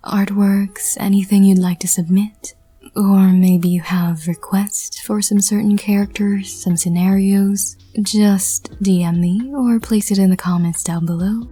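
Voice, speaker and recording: A soft-spoken woman with a whispery, breathy voice, narrating a roleplay and hosting her channel. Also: mid-range voice, steady tone, a little slow.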